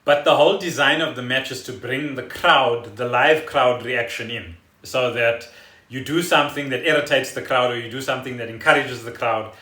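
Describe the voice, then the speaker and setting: A man co-hosting a casual podcast, explaining things in an animated, storytelling way. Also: medium pitch, moderate pace. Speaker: podcast co-host. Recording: roomy echo, faint music behind.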